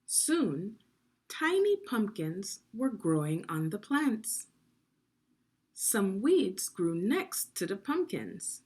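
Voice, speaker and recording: A woman teacher reading a story aloud to children in an expressive, deliberate, instructional way, pausing with guiding questions. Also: mid-range voice, slightly rough.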